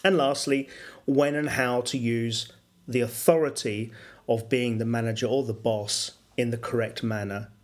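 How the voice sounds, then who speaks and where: A middle-aged man hosting a solo business podcast, explaining at a measured pace with fillers and self-corrections. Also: medium pitch, fairly even intonation. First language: English. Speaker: podcast host and business English coach.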